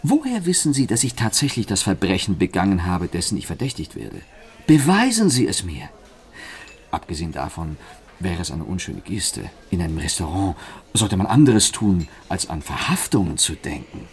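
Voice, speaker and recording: Gentleman thief for radio drama: low-pitched, swooping delivery, suave, theatrical, amused and ironically polite. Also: wildly swooping pitch, normal speed, husky, heavily acted. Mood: teasing. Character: suave, teasing French gentleman thief, master of disguise. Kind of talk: German radio play acting.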